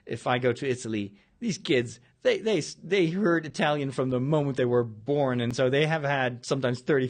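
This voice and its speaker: A man with a clear Dutch accent talks in a loose, unscripted monologue as a priest and host. His voice is medium in pitch but swings widely up and down. He circles back and gropes for words as he goes. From Netherlands. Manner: digressive, searching for words mid-sentence. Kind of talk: casual spoken monologue.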